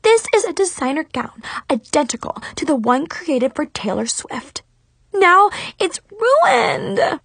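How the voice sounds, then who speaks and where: Spoiled teen diva for a storybook: high, screechy, indignant, wildly animated. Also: female voice, slow pace, no room sound, heavily acted. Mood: outraged, wailing. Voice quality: shrill, screechy. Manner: indignant, haughty, melodramatic. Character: furious, spoiled, shrieking teenage mean girl.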